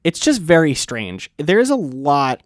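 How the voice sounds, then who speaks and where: A man's voice: on the high side, very animated, measured pace, dry recording. Dry-sounding, rambling casual man. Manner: rambling, restarts often, swears casually. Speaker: co-host of a music review show. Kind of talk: casual music review chat. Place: college radio studio.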